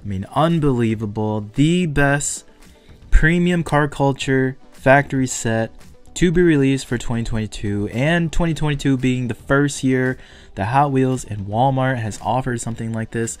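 A man's voice: medium pitch, moderate pace. Enthusiastic male collector, music underneath. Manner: enthusiastic, speculative collector talk. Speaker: toy car collector vlogger. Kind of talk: casual unboxing commentary.

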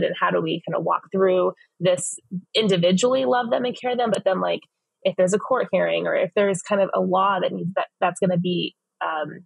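Husky, gravelly-voiced woman from Phoenix. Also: lowish voice, no echo, low-quality recording. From West Phoenix, United States. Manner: earnest, many fillers and restarts. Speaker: ministry leader, guest. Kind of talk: reflective interview answers. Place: home office, indoors.

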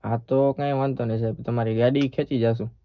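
A man's voice: mid-range voice, fairly even intonation, fast talker, highs cut off, a little hiss or hum. A man with a Saurashtra Gujarati accent making a prank call as a polite, persistent bank employee. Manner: polite, persistent, official-sounding. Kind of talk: prank phone call in casual dialect.

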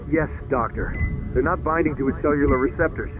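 A man's voice: medium pitch, a little fast, close to an everyday voice. An actor playing a worried, clinical and cautious male medical assistant in a video game cutscene. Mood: clinical concern. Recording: thin phone-like sound, music behind.

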